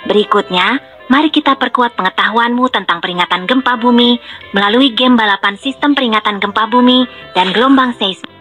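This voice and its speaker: A woman voicing a cheerful young panda tour guide in a children's educational game, speaking clearly and instructively. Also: a little high, a little fast, pushed and bright. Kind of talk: scripted educational narration for children. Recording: music behind the voice.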